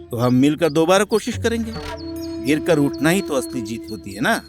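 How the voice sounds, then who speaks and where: A male actor as a proud, principled cartoon husband. His fairly high voice swings expressively as he speaks in earnest, firm challenges. Music runs behind him. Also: very animated, normal speed. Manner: earnest, challenging rhetorical questions. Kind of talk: Hindi moral-story cartoon dialogue.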